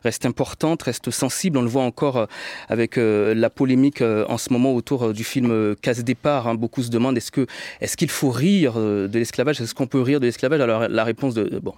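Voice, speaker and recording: A man's voice: mid-range voice, a little fast, a little mellow, no room sound. A man from French Guiana, a radio journalist, talking thoughtfully as a guest on a radio talk show, with many hesitations.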